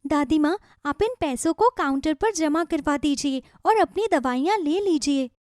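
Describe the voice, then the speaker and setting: A woman's voice: high-pitched, animated, normal speed, darkish tone, dry recording, studio quality, voice pushed up. A woman voicing a kind, eager little girl in a children's story, polite and earnest.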